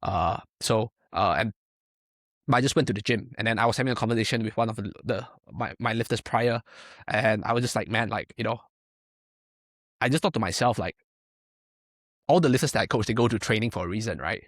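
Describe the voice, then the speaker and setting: A man's voice: on the low side, a little fast, pauses often, a bit bright, dry recording, studio quality. A young man from Malaysia, a powerlifting coach, talking casually in a podcast interview, filling his speech with "like" and "right?". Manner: rambling, many fillers and tag questions.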